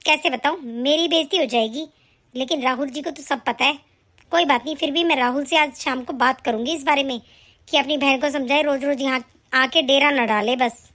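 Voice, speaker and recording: Indignant young daughter-in-law, high bright voice, a woman acting. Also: normal speed. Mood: grumbling. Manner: indignant, argumentative. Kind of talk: family skit acting.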